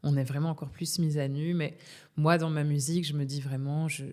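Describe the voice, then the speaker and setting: A young woman from Belgium with a low, full voice talks through her thoughts in an interview. She hesitates often, restarting sentences and filling gaps with small sounds. The talk is relaxed and unscripted. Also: fairly quick. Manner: hesitant, many fillers and restarts, laughs often. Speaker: singer, interview guest. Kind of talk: casual interview conversation.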